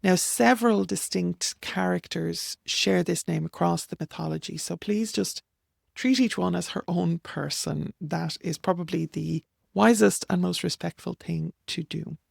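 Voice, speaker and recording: A woman's voice: on the low side, a little slow, vocal fry, a little mellow, no room sound, studio quality. A middle-aged Irish woman with an Irish accent, plainly and firmly explaining name pronunciations over slides. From Ireland. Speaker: author and teacher.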